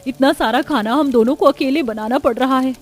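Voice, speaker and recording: A woman's voice: high voice, a little fast, a little mellow. A woman voicing a put-upon young daughter-in-law in a cartoon family drama, plaintive and grumbling. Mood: complaining.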